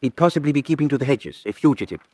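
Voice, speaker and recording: A male actor plays a formal, officious surveyor from a radio play. His mid-pitched voice moves about freely and sits dry, with no room around it. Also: lively intonation, racing, mellow, husky, no room sound. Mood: businesslike. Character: brisk, officious county road surveyor man. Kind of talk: radio drama acting.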